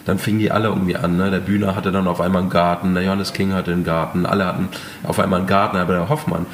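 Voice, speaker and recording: A German man with a very low, deep voice, speaking slowly and hesitantly with frequent "ähm"s as he chats about his work as a chef. Faint background noise sits behind his voice. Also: little pitch movement. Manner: hesitant, many fillers. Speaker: chef, restaurant owner. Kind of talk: casual interview talk.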